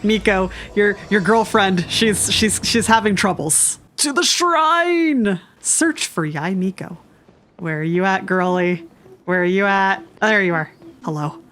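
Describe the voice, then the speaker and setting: A woman's voice: medium pitch, measured pace, a bit bright, a little hiss or hum. A woman streaming a game with casual, sarcastic, exclamatory commentary in a chatty way. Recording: faint game music behind.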